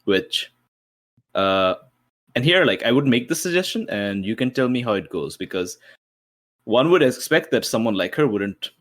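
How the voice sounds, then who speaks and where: A man chatting casually as a player in a tabletop roleplaying game, halting as he works out his plans. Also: medium pitch, lively intonation, a bit bright.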